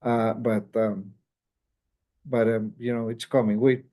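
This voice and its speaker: A man in a company leadership role answers questions on an earnings call. His voice is mellow and sits at an average pitch. He explains in a measured way, often restating a phrase to underline it. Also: flat delivery. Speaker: company executive.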